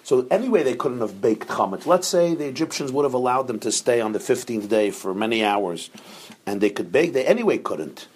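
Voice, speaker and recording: A rabbi teaching a class on a religious text, earnest and emphatic, who repeats phrases for stress and sprinkles in Hebrew and Yiddish terms. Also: male voice, medium pitch, expressive, brisk, a little mellow, husky. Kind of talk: explaining a religious text to students.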